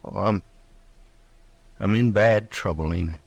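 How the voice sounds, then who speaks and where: Halting, simple rural American drifter. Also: male voice, on the low side, creaky, no room sound. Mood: resigned. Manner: halting, artless, pleading. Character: simple, poor, frightened drifter wrongly accused. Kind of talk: old-time radio western acting. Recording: vintage radio drama recording.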